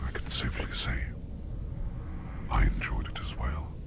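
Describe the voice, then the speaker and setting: Man voicing a slow, whispering gravelly demon. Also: rumbling low, expressive, exaggerated voice. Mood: calm, courteous. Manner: slow, formal, measured, gently reassuring. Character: curious, courteous male sleep demon visiting dreams. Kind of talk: whispered ASMR roleplay.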